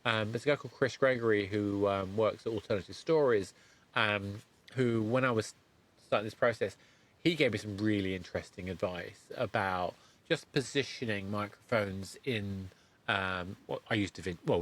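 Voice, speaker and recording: A man's voice: lowish voice, a bit bright. A middle-aged man hosting a podcast interview in a conversational, rambling way, often breaking off and correcting himself. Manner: halting, rambling, self-correcting. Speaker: podcast host interviewing a guest.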